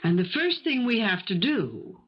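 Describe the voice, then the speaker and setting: A woman's voice: medium pitch, sing-song, normal speed, heavy background noise, lightly acted. An actress playing a determined, protective woman in a 1970s radio mystery, articulate and insistent in her questioning. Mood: firm, insistent. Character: assertive, determined woman turned protective amateur investigator. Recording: dull vintage radio broadcast recording.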